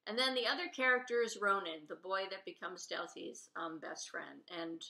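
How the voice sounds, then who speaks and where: Bright-voiced woman reading in an echoey room. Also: medium pitch, expressive, moderate pace. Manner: openly fond of the characters. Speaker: author reading own novel. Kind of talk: expressive read-aloud of a children's novel with informal asides. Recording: roomy, echoey room sound.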